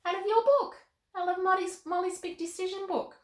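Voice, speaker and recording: Very high squeaky voice, eager little girl doll, gushing, children's show, roomy echo. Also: fairly quick. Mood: excited. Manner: gushing, exclaiming, addressing children. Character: Molly, excitable nine-year-old girl doll, sweet and eager. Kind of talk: puppet-style children's show banter.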